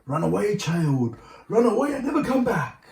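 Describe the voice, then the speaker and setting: Man playing a high-pitched, pleading huntsman. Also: sing-song, normal speed, gravelly, darkish tone, recorded from a distance, exaggerated voice. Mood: urgent warning. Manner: begging, urgent. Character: frightened male royal huntsman, pleading and warning. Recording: roomy home recording, faint noise.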